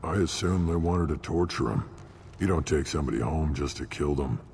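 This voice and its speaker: An actor playing a surly, blunt alien warrior in a video game, gruff and dismissive, with a deep gravelly voice. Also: male voice, fairly quick, darkish tone. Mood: gruff, matter-of-fact. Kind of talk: video game character acting. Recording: long reverb, clear background noise.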